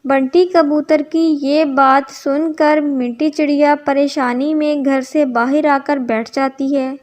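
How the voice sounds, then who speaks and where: A woman's voice: high-pitched, steady tone, takes it slowly, darkish tone. A woman narrating a scripted cartoon story as its storyteller.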